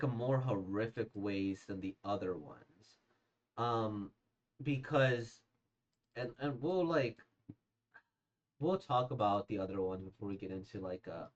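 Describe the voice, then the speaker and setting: A hoarse, slightly congested man casually reacting to manga he has read, rambling with many fillers and restarts. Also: mid-range voice. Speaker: manga reader and reviewer. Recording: dull lo-fi sound.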